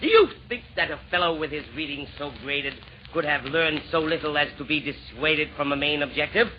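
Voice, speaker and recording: Pompous villain emperor played by a man, high-pitched, theatrical rhyming delivery, vintage radio drama. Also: fairly quick, natural voice. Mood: menacing, boastful. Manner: theatrical, declaiming in rhyme. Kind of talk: old-time radio verse comedy acting. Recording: dull, noisy vintage radio recording.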